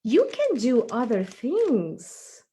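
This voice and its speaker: A woman voicing a gentle, caring mother puppet in a tender, coaxing way. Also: on the high side, huge pitch swings, slow, slightly rough, voice pushed up, heavily acted. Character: gentle, caring mother puppet guiding her young son.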